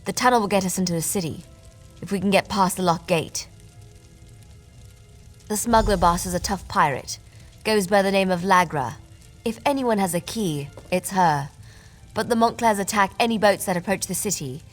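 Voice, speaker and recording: A woman's voice: medium pitch, normal speed, long pauses, soft background music, close to an everyday voice. An actress playing a sly female rogue companion in a fantasy video game, with a dry, wry delivery.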